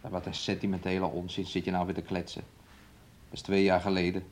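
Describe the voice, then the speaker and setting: An actor playing a domineering, resentful young fiancé in a radio play, with a taunting, needling way of talking. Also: male voice, low-pitched, completely flat delivery, fast talker, a little hiss or hum, lightly acted. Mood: belittling. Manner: speaks of himself and her in third person, taunting.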